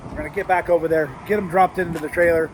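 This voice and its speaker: A man hosting his vlog with a hoarse, worn-out voice, chatting excitedly about a new trailer. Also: a little high, very fast, background noise. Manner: excited, enthusiastic, loose and chatty. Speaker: vlog host. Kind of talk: casual vlog narration. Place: outdoors at a trailer lot.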